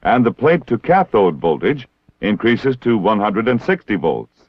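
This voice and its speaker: American man, low voice, formal training-film narration, measured and precise, dry close sound, muffled 1960s film soundtrack. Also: sing-song, breathy edge, no echo. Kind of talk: scripted instructional narration.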